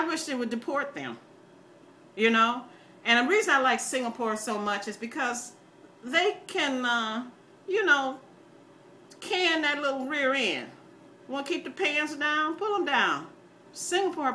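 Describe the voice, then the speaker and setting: An older American woman talks casually to her online viewers. Her voice is bright and forceful, and her manner is folksy, opinionated and plain-spoken. Some background noise sits behind her. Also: fairly high pitch. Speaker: video blogger. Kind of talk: casual rambling chat to viewers.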